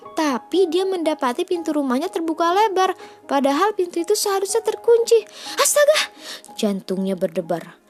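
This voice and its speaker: A woman storyteller narrating a children's fairy tale. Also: high-pitched.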